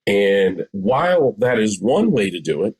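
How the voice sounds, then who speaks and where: A man in his forties with a low, slightly rough voice. He talks as a therapist being interviewed, conversational and emphatic, often repeating phrases to drive them home. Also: very animated, unhurried, darkish tone, poor audio. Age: 40s. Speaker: psychotherapist, podcast guest.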